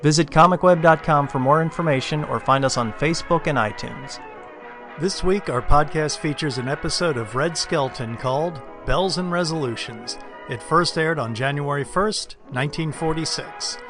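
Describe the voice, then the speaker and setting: Male podcast host, fairly high voice, scripted intro with clear music underneath. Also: moderate pace.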